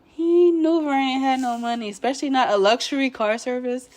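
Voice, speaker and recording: A young woman, hoarse and a bit nasal while recovering from illness, casually recapping a show episode in a laid-back way, clearing her throat now and then. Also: sits high, fairly quick, darkish tone. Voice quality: slightly nasal. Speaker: show commentator.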